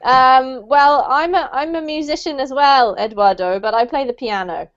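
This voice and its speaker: A woman from the UK teaching an English class online, cheerful and quick to laugh as she greets students by name over a video call. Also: sits high. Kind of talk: casual online class chat. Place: home room in New Zealand. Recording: dull lo-fi video call audio.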